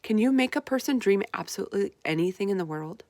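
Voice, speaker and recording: A woman's voice: medium pitch, expressive, fast, darkish tone, no room sound, close to an everyday voice. Female reader as plucky young girl heroine, children's storybook, impassioned and emphatic, dry sound. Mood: eager. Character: determined, feisty, indignant young orphan girl. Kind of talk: children's storybook read-aloud.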